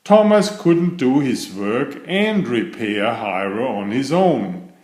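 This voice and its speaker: A man reading a children's bedtime story aloud in a deliberate, expressive storytelling manner. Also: mid-range voice. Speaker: storybook reader. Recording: roomy, echoey sound.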